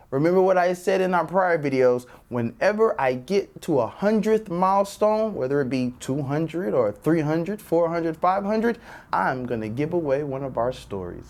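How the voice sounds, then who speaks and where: A man hosting a children's read-aloud, addressing young viewers directly with drawn-out emphasis. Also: a little high, darkish tone. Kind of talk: presenting and reading a children's picture book.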